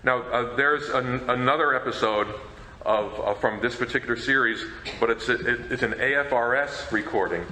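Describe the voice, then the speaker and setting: A man giving an informal talk as a presenter, in a halting, stammering manner. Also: medium pitch, bright, forceful tone. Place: presentation room at a convention. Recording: echoey room, faint noise.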